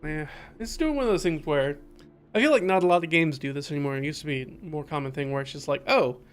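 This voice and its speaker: A man's voice: a little high, fast. A man giving casual, musing playthrough commentary on a game, with hesitant asides as he thinks aloud. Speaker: game streamer. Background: music.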